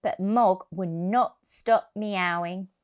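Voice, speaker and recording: A woman reading a picture book to young children, expressive and deliberate, stressing key words. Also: medium pitch, smooth. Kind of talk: storybook narration for young children.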